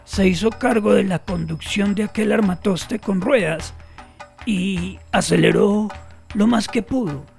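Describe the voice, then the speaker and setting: A compliant man on the witness stand in a radio drama. His voice is very creaky and slightly high, his answers deliberate and formal, over faint music. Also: darkish tone. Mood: deliberate recounting. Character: compliant male witness testifying at a sham trial. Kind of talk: audio drama courtroom acting.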